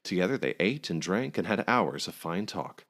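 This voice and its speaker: A man's voice: low voice, sing-song, normal speed, a bit bright. A man narrating a scripted folk tale aloud as a podcast storyteller.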